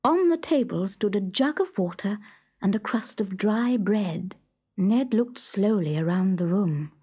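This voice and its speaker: A woman narrating a children's story from a script in a measured, expressive storytelling manner. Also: mid-range voice, breathy edge, lo-fi sound.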